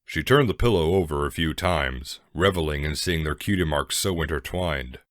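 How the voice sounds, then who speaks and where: Very deep-voiced male story narrator. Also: normal speed, no room sound, studio quality.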